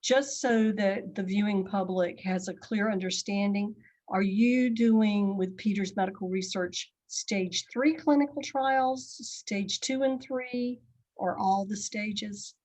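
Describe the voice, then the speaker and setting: A woman's voice: medium pitch, steady tone, slow pace, a little mellow, poor audio. A woman interviewer asking her guest polite, friendly questions.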